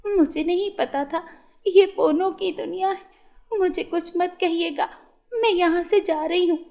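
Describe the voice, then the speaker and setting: A woman's voice: extremely high pitch, measured pace, mellow, pitched above the performer's own voice. A woman voicing an emotional young runaway girl in a children's storybook, with a pleading, eager manner. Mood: frightened, pleading.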